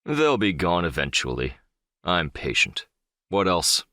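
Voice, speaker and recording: A man's voice: deep voice, very animated, measured pace, pushed and bright, dry recording, clean studio sound, exaggerated voice. A man playing a powerful, middle-aged crime boss in an audiobook drama, controlled, condescending and smugly self-assured. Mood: dismissive. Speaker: audiobook voice actor.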